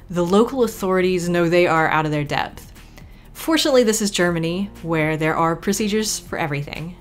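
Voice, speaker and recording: A woman hosting a book preview channel, reading a scripted voice-over. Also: lowish voice.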